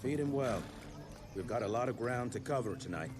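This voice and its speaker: An actor playing a grizzled, sardonic older monster hunter near retirement in a game cutscene. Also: male voice, medium pitch, expressive, a little fast, lightly acted. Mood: wry. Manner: dry, sardonic.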